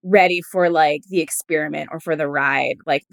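A woman's voice: low voice, normal speed, vocal fry. A woman in her early thirties chatting casually and openly, with some swearing. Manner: open, animated, profane. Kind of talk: candid casual chat.

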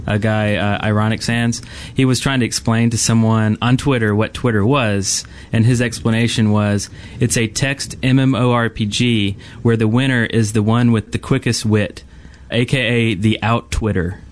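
A man's voice: fairly low pitch, steady tone, normal speed, hardly any pauses, a little hiss or hum. A man co-hosting a gaming podcast, talking casually and with enthusiasm about what he describes. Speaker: podcast co-host.

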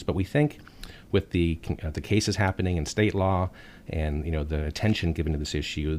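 A man's voice: very deep voice, slight background noise. A man who advocates for musicians in policy work, telling anecdotes on a podcast panel. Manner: anecdotal, warm storytelling with laughs.

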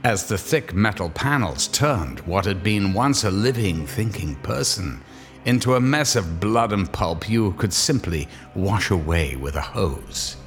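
An actor playing a grim, sardonic narrator of horror tales, giving dramatic scripted narration. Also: male voice, low voice, lively intonation, measured pace, soft background music. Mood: sardonic, ominous.

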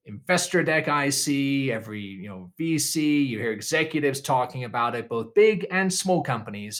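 A man's voice: medium pitch, a bit bright. A man hosting a business podcast, introducing guests and asking questions with upbeat enthusiasm. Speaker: podcast host. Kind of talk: podcast interview.

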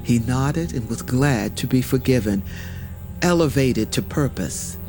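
An actor playing a solemn storyteller of ancient sacred lore, speaking in a measured, reverent and dramatic way. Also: male voice, medium pitch, animated, close to an everyday voice. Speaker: storyteller narrator. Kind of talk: solemn scripted mythic narration. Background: prominent music.

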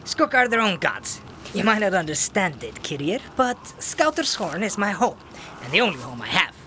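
Sly, bright-voiced horned spirit, acted by a man. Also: high voice, big pitch swings, moderate pace, a little hiss or hum, heavily acted. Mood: proud. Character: sly mischievous horned forest spirit, proud and taunting. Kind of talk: fantasy audio drama acting.

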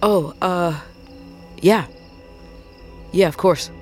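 A woman's voice: on the low side, very slow, faint music behind. A woman playing a determined but worried long-haul trucker in an audio drama, hesitant yet blunt. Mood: startled, eager. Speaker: actor in an audio fiction drama.

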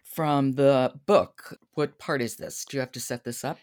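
Female podcast host with a notably low register, conversational and wry. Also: fairly even intonation, moderate pace, darkish tone. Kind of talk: conversational book talk and interview.

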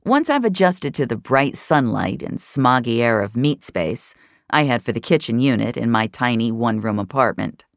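An actress playing a sardonic, jaded virtual-reality regular, narrating an audio drama in a wry, conversational, profane way. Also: female voice, rumbling low, lively intonation, normal speed, gravelly, dry recording, clean studio sound, full character voice. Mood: jaded, dry.

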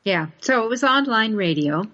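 A woman's voice: a little high, lively intonation, moderate pace, pushed and bright. A woman hosting a podcast interview in a folksy, emphatic, conversational way. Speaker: podcast host. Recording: dull lo-fi online audio.

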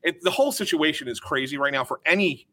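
A man, a hockey reporter, explaining news in casual sports talk, measured and cautious with frequent fillers. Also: a little high, bright, forceful tone.

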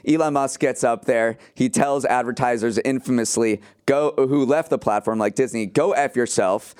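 A man's voice: medium pitch, fairly even intonation, moderate pace, darkish tone, smooth. A man co-hosting a podcast, giving conversational commentary on the news. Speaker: podcast co-host.

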